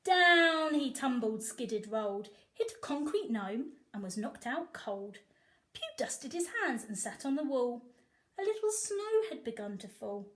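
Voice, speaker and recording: A woman reads a picture book to children in a fairly high voice. Her delivery swings widely in pitch, animated and emphatic, with a sing-song lilt on the rhymes. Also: unhurried. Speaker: storyteller reading to children. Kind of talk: expressive picture book read-aloud.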